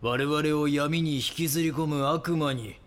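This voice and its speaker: An actor playing a calm, grandiose and menacing cult guru who preaches solemnly in an audio drama. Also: male voice, a little high, unhurried, natural voice. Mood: solemn, preaching. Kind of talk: Japanese audio drama acting.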